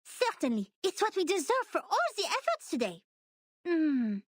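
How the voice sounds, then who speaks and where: Game role: a keen young investigator, very high, excitable, recorded dry. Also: female voice, no echo, exaggerated voice. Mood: thrilled. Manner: excitable, quick to marvel. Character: eager bright young woman investigator. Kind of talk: game voice acting.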